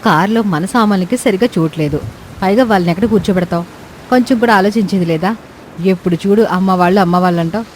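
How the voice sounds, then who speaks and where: A woman's voice: medium pitch, fast talker, a little mellow, slight background noise, natural voice, low-quality recording. A woman voicing a haughty, spiteful young daughter-in-law in a story, scornful and snappish. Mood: angry, dismissive.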